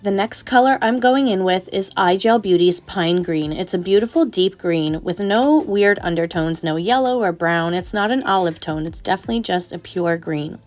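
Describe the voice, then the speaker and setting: A young adult woman talks viewers through a nail tutorial in a chatty, explanatory way. She sounds a little stuffed up and nasal from a cold, and her voice sits on the lower side. Soft background music plays faintly behind her. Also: animated, normal speed, few pauses. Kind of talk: tutorial voice-over narration.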